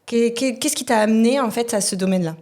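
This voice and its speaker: A woman's voice: a little high, a little fast, darkish tone. A woman hosting a podcast interview, asking questions and affirming with short backchannels. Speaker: podcast host.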